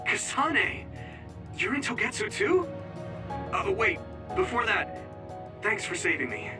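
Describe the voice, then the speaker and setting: An earnest, gentle boy soldier from an anime game, with very expressive pitch. He sounds slightly distant, with music clearly behind him. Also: unhurried. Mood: dazed, grateful. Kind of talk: anime game English dub acting.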